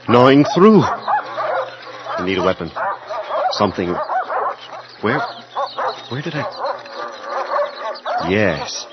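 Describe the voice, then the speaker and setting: A man's voice: fairly low pitch, heavy background noise, exaggerated voice. An actor playing a desperate scientist on the run, telling his story urgently and melodramatically in a suspense radio drama. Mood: panicked anguish. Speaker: narrator and lead character. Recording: dull lo-fi audio under loud music. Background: loud music.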